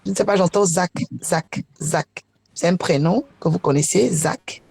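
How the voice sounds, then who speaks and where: A woman's voice: fairly low pitch, normal speed, vocal fry, darkish tone, husky, no room sound. A woman leading a prayer meeting as a prophetess, speaking spontaneously and repeating phrases as she searches for words.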